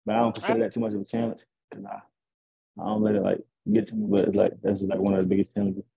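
A young man, a rapper, talking casually and boastfully in an interview over a video call, with a deep raspy voice. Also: monotone, racing. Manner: laid-back, boastful, rambling. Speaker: rapper guest. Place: indoors at home. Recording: tinny video call audio.

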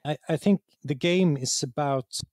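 Swedish man, halting and searching for words. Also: on the high side, darkish tone, dry recording, studio quality. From Sweden. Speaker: game designer being interviewed. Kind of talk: reflective interview talk.

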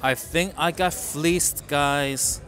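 A middle-aged man with a slightly raised speaking voice, talking dryly about food from a quiet restaurant booth. He speaks close to a small mic, with a faint trace of music behind him. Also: fairly high pitch, extremely slow. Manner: wry, deadpan remarks about prices. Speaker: food vlogger. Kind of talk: casual restaurant review vlog. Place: dim restaurant booth, indoors.

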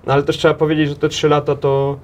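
A man in his thirties answering questions in a studio interview, talking in halting runs with many restarts and repeated words, recorded on a table mic in a small TV studio. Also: fairly high pitch, completely flat delivery. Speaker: interview guest, head of a transport association.

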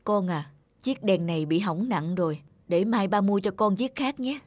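A woman voicing a kind, self-sacrificing middle-aged foster father in a cartoon, speaking gently and earnestly. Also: mid-range voice, normal speed, no room sound, natural voice. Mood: caring. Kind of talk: scripted cartoon dubbing.

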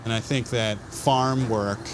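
A man's voice: mid-range voice, fairly even intonation, measured pace, background noise. A man in his thirties, a school farm manager, explaining the farm in an easygoing interview outdoors.

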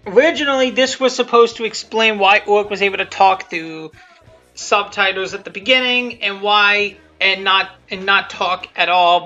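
Rambling, bright-voiced commentator over music. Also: medium pitch. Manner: rambling, repeats and rephrases, mild swearing. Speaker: creator giving commentary on own fan video. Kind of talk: casual off-the-cuff commentary. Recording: dull lo-fi audio with music behind. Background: music and quiet cartoon soundtrack.

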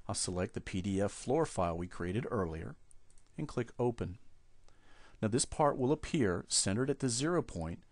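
A man with a low voice talks through a software tutorial, explaining each step in a steady way. The recording is dry and close to the mic, as if made at a desk over a screen capture. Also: no room sound. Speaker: software trainer.

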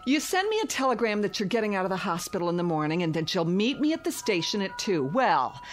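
An actress playing an indignant, sharp-tongued young woman scolding her boyfriend in a radio drama. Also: mid-range voice, big pitch swings, fast, soft background music, natural voice.

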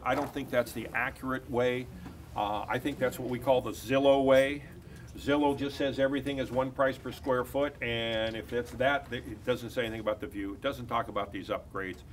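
Confident older man pitching as an agent. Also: slight background noise. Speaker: real estate agent. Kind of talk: mock listing presentation. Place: office conference room.

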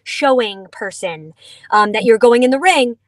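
A woman's voice: on the high side, lively intonation, unhurried, no echo, low-quality recording. A middle-aged woman in a vehicle on a video call, enthusiastically explaining a dog food product with playful asides. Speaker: dog food company representative. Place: inside a vehicle.

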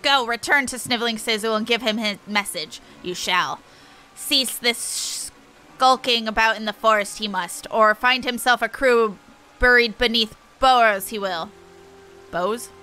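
Woman as a sneering, bright-voiced bird-man chieftain. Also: a little high, measured pace, clear, resonant tone, pitched below the performer's own voice, poor audio. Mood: threatening, scornful. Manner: taunting, inverted word order, squawking.

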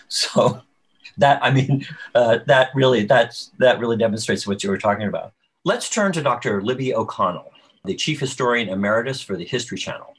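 A man moderating a video call panel in a conversational way, with a husky voice. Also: medium pitch, animated, moderate pace. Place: indoor room, on a video call. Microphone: video call microphone.

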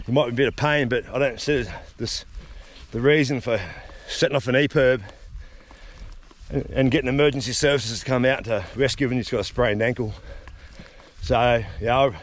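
A man in his fifties with an Australian accent, chatting casually while hiking on open plains, talking into an action camera. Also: medium pitch. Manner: laid-back, rambling, says "eh" and "yeah". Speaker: solo outback camping vlogger. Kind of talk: casual outdoor vlog chat. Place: outdoors on open grassy high plains. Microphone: action camera worn or handheld while walking.

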